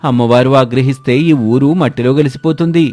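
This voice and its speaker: A man's voice: mid-range voice, brisk, darkish tone, faint music behind, natural voice. A man playing a solemn, devout villager who gives worried warnings in a dramatised moral story.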